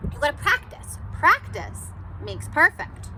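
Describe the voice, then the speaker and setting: A woman teacher doing an interactive read-aloud for children, animated and encouraging, asking questions and pausing for answers. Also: high voice, noisy background.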